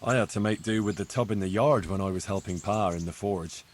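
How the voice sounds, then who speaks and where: An actor playing a good-natured young medieval blacksmith's son in love, earnest and playfully gallant, in video game dialogue. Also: male voice, fairly low pitch, brisk, slight background noise, lightly acted. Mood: fondly nostalgic. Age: young adult.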